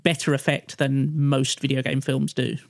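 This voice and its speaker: A man with a gravelly, creaky voice at a medium pitch makes his point in a casual podcast discussion. He is hesitant, circling back as he goes. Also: little pitch movement, normal speed, no room sound, studio quality. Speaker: podcast panellist.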